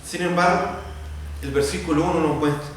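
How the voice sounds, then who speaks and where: A Chilean pastor with a high voice preaches to his congregation in a church sanctuary, building his points through repeated parallel phrases. He stands far from the microphone, and his voice bounces around a very echoey room over a faint background hiss. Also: steady tone, a little fast. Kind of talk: expository sermon.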